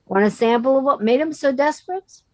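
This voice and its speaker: A woman's voice: high-pitched, a little fast, no echo, natural voice, low-quality recording. A woman voicing a sensible, wry young woman who narrates in an amateur radio comedy reading. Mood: wry, friendly. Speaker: amateur radio play actor.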